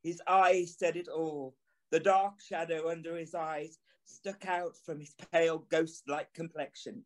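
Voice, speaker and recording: A man's voice: a little high, little pitch movement, unhurried, close to an everyday voice. A man playing a haunted, remorseful journalist, narrating an audio play with brooding, theatrical phrasing. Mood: anguished regret. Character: haunted, remorseful journalist recalling an eerie encounter. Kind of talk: dramatic first-person audio play narration.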